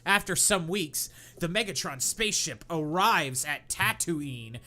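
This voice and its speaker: Lively, bright-voiced young man reading aloud. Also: fairly high pitch, measured pace. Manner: animated, mock-dramatic reading. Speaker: podcast co-host reading fan fiction. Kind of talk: comedic podcast reading.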